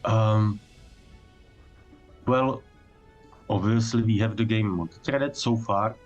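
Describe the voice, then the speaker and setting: A man with a somewhat low voice talks casually as a developer fielding livestream questions. His English is halting and phrased like a second language, and faint music plays underneath. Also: steady tone, dull audio. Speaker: game developer guest answering technical questions.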